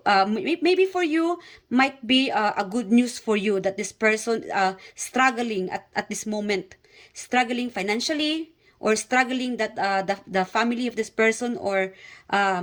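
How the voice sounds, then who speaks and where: A woman from the Philippines with a Filipino accent gives a casual tarot reading to her viewers, pausing often with fillers and repeating herself. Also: on the high side, a bit bright. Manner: hesitant, many fillers and repeats, speaks directly to viewers. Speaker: tarot reader.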